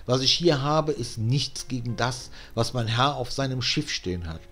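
A man's voice: mid-range voice, expressive, moderate pace, a bit bright. A man voicing a faithful, courteous and cunning servant in a storybook reading. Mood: persuasive.

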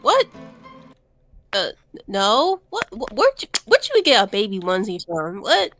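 A woman's voice: medium pitch, huge pitch swings, measured pace, a bit bright, faint music behind, exaggerated voice. A woman playing a brash, sassy young woman in an improvised horror-comedy roleplay, speaking bluntly and dramatically. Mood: defensive, sassy.

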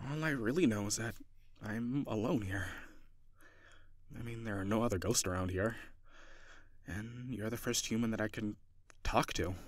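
A man playing a friendly, lonely ghost in an improvised audio roleplay, talking gently and hesitantly, as if to one listener. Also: medium pitch, no room sound, clean studio sound. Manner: hesitant, conversational, soft-hearted.

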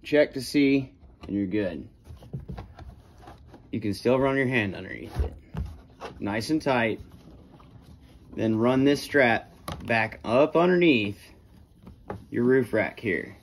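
A young man casually walking through steps of a how-to under a carport at night, speaking in relaxed, plainspoken directions to a phone camera as he moves around. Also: mid-range voice, slow, pauses often, a little hiss or hum. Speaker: kayaker demonstrating gear. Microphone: phone camera, moving around the car.

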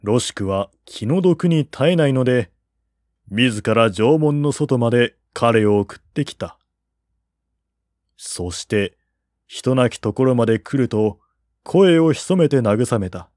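A man reading literary narration as an audiobook narrator, in a measured, formal storytelling manner. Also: mid-range voice, animated, darkish tone, dry recording, studio quality.

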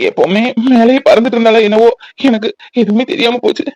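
A man's voice: on the high side, little pitch movement, quick pace, no echo, highs cut off, lightly acted. A man playing an emotional, remorseful grown son in a family drama, earnest and pleading. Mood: tearful, remorseful. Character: remorseful, emotional grown son and father, fallen on hard times.

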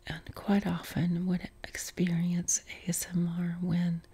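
A middle-aged woman from West Virginia with a softened Appalachian accent, whispering an unhurried question-and-answer chat from her living room couch, close to the mic. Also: lowish voice, completely flat delivery, slight background noise. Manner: unvoiced whisper, unhurried with many ums. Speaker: ASMR creator. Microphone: close mic, camera framed on torso.

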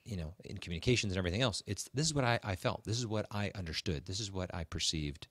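A man with a very low voice talks as a podcast host giving advice. He is conversational and emphatic, circling back to restate each point. The sound is close and dry, with no room around it. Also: lively intonation, fast talker, fairly bright tone, no room sound.

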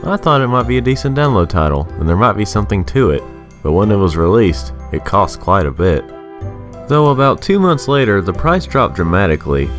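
A man reading a scripted, rhyming video game review in a sarcastic, sing-song manner. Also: deep voice.

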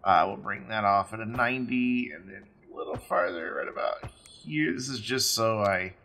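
A man with a rough, raspy voice from being sick, talking casually as he plays a game, rambling with ums and blunt asides. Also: on the low side, lively intonation, normal speed, pushed and bright, a little hiss or hum. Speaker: let's play host. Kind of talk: casual gameplay commentary.

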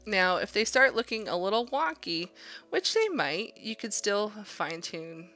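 A woman's voice: medium pitch, big pitch swings, unhurried, bright, forceful tone, soft background music. A woman art instructor giving a casual demonstration, thinking aloud in an encouraging way as she draws. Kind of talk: casual drawing demonstration narration.

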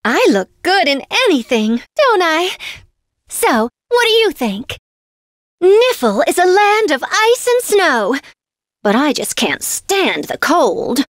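A woman's voice: high voice, big pitch swings, slow pace, no room sound, clean studio sound, full character voice. A woman playing a vain, demanding young noble lady for a video game, pompous, pouty and theatrical. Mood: haughty, smug. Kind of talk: video game character voice lines.

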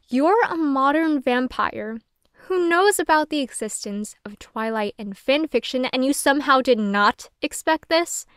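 A woman's voice: high-pitched, a bit bright, no echo, studio quality. A young adult woman playing a sardonic, flustered, self-deprecating young woman who rambles and swears casually in an audio roleplay monologue. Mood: flustered, joking.

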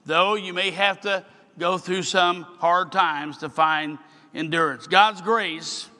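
An older man, a bishop, giving an earnest sermon address at a podium, slowing down as he reads scripture. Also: sits high, fairly even intonation, pushed and bright. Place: large hall at a podium. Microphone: podium microphone. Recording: long hall reverb.

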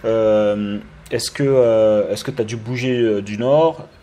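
A man in his thirties hosting a live interview over a video call, chatty and relaxed, with many fillers and restarts. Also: lowish voice, slow pace. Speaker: interview host. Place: indoor room with lamp and wall art. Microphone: webcam on video call.

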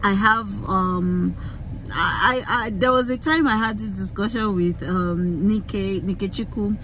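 A young Nigerian woman with a Yoruba-flavoured accent, vlogging into a phone in a car, talking in an animated, emphatic way with many fillers and restarts. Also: mid-range voice, slow, slight background noise, poor audio. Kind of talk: casual rant to camera. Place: inside a parked car. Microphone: phone camera held close.

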